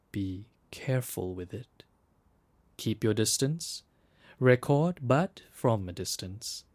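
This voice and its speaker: A man acting as a solemn guide in an audio drama, giving deliberate, hushed, poetic instructions. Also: lowish voice. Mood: grave, cautioning. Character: solemn male guide instructing an archival recorder in ruins. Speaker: narrator guide. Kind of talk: scripted audio drama narration.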